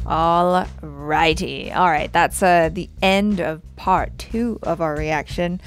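A woman's voice: on the low side, steady tone, slow. A young woman chatting casually as co-host of a reaction show, on a headset mic. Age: young adult. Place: small indoor studio.

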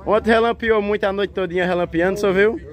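A man's voice: high-pitched, fairly even intonation, fast talker, a crowd in the background. A man with a Northeastern Brazilian accent from Pernambuco, giving chatty, folksy commentary to viewers as he films outdoors on a phone. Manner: chatty, folksy, repeats phrases. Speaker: livestock fair vlogger. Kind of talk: casual running commentary to viewers with greetings. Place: outdoors at a livestock fair. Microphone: handheld phone behind the camera.

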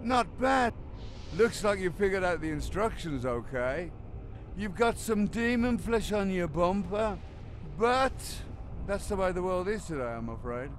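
An actor playing a cagey guardian of metal secrets in a video game, rambling theatrically. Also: male voice, high voice, big pitch swings, measured pace, a little hiss or hum. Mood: wry. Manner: rambling, theatrical, swearing freely. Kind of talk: video game cutscene acting.

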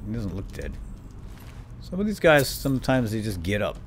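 A man's voice: on the low side, big pitch swings, normal speed. A man giving lightly role-played commentary on a video game, muttering to himself with playful asides. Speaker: gamer commentator. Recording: game ambience noise behind voice. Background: video game cave ambience and effects.

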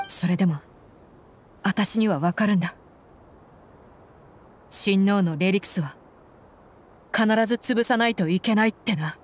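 A woman's voice: medium pitch, natural voice. A woman voicing a tough, resolute young mercenary in a video game, with a blunt, tomboyish and firm delivery. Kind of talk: dramatic game acting. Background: faint background ambience.

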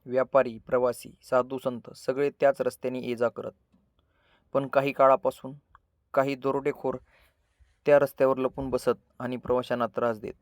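A man narrating a scripted children's story as a storyteller, with measured, dramatic delivery in short phrases. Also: mid-range voice, flat delivery, dry recording, studio quality.

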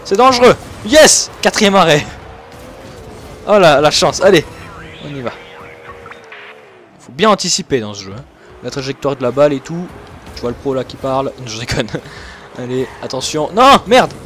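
A young man from Switzerland, commentating his own gameplay excitedly and laughing often. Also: a little high, moderate pace, long pauses, faint music behind. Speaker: gaming YouTuber.

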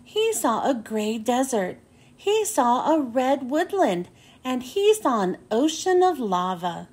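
High-pitched, expressive woman reading a children's storybook. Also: slow, breathy edge. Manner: careful, expressive phrasing for young listeners. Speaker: children's book reader and host. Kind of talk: read-aloud storybook narration for children.

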